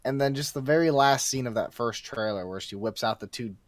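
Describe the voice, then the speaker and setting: A young man chats casually as a guest on a podcast, laying out his opinions in everyday speech. His pitch is average for a man, and the recording is dry, without room sound. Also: fairly quick, dry recording. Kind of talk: casual chat, giving opinions.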